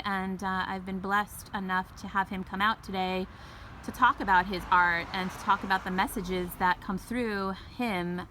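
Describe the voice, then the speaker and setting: A young woman hosting a casual interview, speaking earnestly and warmly, with many "um"s and "really"s. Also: medium pitch. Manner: earnest, gushing, many fillers. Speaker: interviewer, host. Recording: clear street and vehicle noise.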